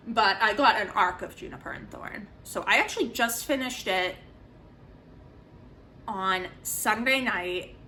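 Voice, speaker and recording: A young woman with a bright, forward voice talks casually to her viewers as a book reviewer. She is emphatic and opinionated in an informal way, over faint background noise. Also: medium pitch, animated, a little slow, rough recording.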